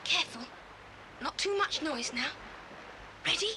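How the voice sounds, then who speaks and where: An actor playing a bold teenage boy who leads child adventurers, brisk and commanding, in a 1980s audio drama. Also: sits high, lively intonation. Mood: resolute. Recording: noisy old cassette tape, engine and ambience.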